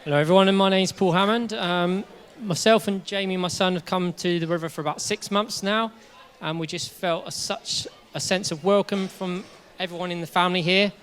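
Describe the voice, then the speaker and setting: A man's voice: sits high, fairly even intonation, a little fast, hardly any pauses, a bit bright. A man giving a sincere, grateful testimony at his baptism. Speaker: church member being baptized. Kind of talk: short personal testimony.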